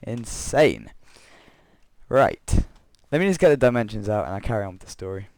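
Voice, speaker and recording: A young man with a croaky voice from being unwell, rambling through gameplay commentary and a story, correcting himself often with chuckling asides. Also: lowish voice, big pitch swings, moderate pace, darkish tone. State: croaky voice from illness. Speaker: Minecraft let's player.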